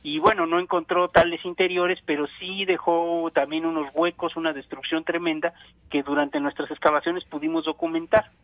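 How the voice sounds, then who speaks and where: A man, an archaeologist guest, explaining in a measured way and pausing often with fillers while he finds his words. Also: on the high side, level tone, no room sound. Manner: measured, thinking aloud with many fillers. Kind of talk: explanatory phone interview. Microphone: telephone. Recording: tinny dry phone line.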